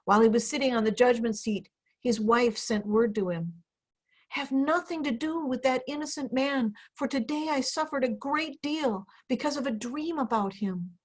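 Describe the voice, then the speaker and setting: A woman with a fairly high-pitched voice reads a scripture narrative dramatically. She is a pastor, and she is speaking over a video call. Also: normal speed. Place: indoor room, on a video call.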